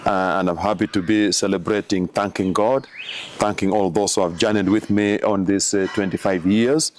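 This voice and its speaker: A man in his forties from Uganda, a missionary priest answering interview questions slowly, with many pauses and fillers. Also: sits low, fairly even intonation, slight background noise. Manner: slow, halting, many fillers.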